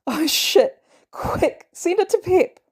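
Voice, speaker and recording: A woman's voice: very high voice, animated, slow, voice pushed up, exaggerated voice. A woman voicing a sarcastic, exasperated male inventor with a wry, informal manner in an audio story. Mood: breathless amusement.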